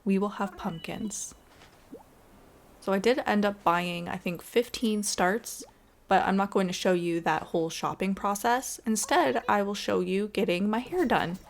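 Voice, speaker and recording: A woman giving casual, chatty and expressive voice-over commentary as she plays a video game. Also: mid-range voice, unhurried, creaky. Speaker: gaming video creator. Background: background music.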